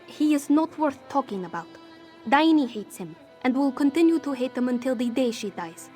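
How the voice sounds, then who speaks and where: A woman's voice: high voice, animated, normal speed, warm tone, faint music behind. A woman playing a wry, proud cat-folk smuggler with a theatrical, sardonic manner in scripted fantasy game dialogue. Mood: grim, defiant. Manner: theatrical, sardonic, speaks of herself in third person.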